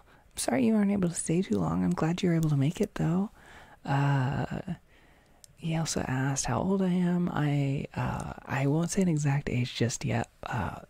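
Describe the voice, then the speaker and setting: A young adult with an American accent chats casually on a livestream, reading and answering comments in a soft-spoken, hesitant, rambling way. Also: medium pitch, gravelly, slightly rough, no room sound. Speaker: ASMR audio creator livestreaming.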